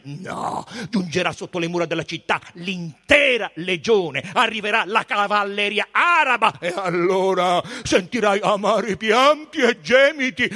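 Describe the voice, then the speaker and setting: A man's voice: sits high, bright, forceful tone, voice pushed up, full character voice. A man voicing a haughty, menacing Roman procurator in a storybook, imperious and emphatic in manner.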